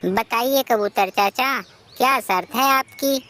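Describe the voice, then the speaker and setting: A woman's voice: a little high, slow, fairly bright tone. A woman voicing an honest, polite male sparrow in a cartoon moral story, speaking respectfully and earnestly. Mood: polite, agreeable.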